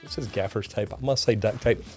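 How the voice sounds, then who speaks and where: A man's voice: fairly low pitch, brisk, a little mellow, soft background music. A middle-aged or older man with a Texan accent, a plumber hosting a video, reading comments aloud and reacting in a folksy way. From Texas, United States. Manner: folksy, addresses viewers as y'all and guys. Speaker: master plumber and video host.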